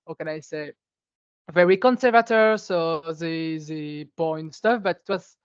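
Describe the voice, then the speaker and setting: A woman's voice: low voice, measured pace, clean tone, no room sound. A woman who is a local politician, searching for words as she gives her testimony in a webinar. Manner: searching for words, non-native English. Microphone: video call. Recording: dry, dull video call audio.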